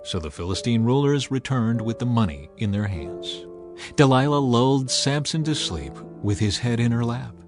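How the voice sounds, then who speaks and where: A man reading a dramatized Bible as narrator, with measured, storytelling delivery. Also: mid-range voice. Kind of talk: dramatized scripture narration, scripted.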